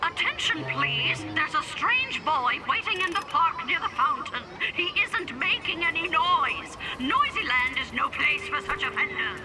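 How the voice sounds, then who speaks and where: Official announcer: officious, formal, high-pitched, swooping intonation, children's cartoon. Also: female voice, sing-song, measured pace, pauses often, low-quality recording. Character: stern official public announcer. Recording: loud background music behind the voice.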